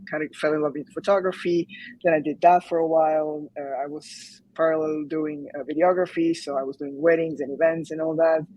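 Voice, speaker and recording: A woman's voice: sits low, a little mellow, low-quality recording. A woman in her thirties from Croatia, chatting casually as an interview guest, hesitant with many fillers and restarts. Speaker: guest, UX design graduate.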